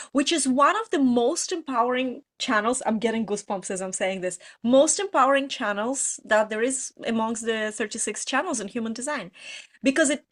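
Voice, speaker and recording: A middle-aged woman talks into her webcam from a room at home, hosting a relaxed explainer. Her voice sits slightly high, and her delivery is animated, full of restarts and asides. The sound is that of an ordinary video call. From Russian-speaking country. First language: Russian. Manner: animated, expressive, with frequent restarts and "you know". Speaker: host.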